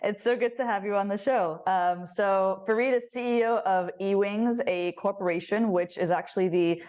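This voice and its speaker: A woman's voice: mid-range voice, moderate pace, a little mellow. A woman hosting a podcast interview, friendly, upbeat and encouraging toward her guest. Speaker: podcast host. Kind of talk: interview conversation.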